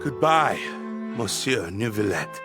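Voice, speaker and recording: A man's voice: extremely high pitch, measured pace. A man playing a loyal, wronged official on trial in a video game, with a dramatic, pleading manner. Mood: resigned. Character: condemned male official, loyal, desperate, wronged. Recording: loud music and crowd behind.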